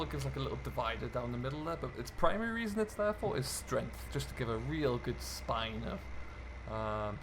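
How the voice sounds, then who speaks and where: A British man giving casual, explanatory gameplay commentary, musing aloud as he goes. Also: mid-range voice. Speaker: video game streamer, host. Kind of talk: casual commentary over farming simulator role play. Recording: clear engine and vehicle noise behind. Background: game tractor and loader engine sounds.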